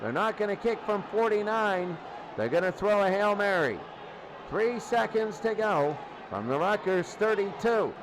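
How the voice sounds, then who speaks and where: A high-voiced man gives live football play-by-play. He speaks in short, clipped phrases as the action moves. The recording is a noisy, low-fi broadcast. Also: animated, measured pace, crowd noise. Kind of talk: live sports commentary.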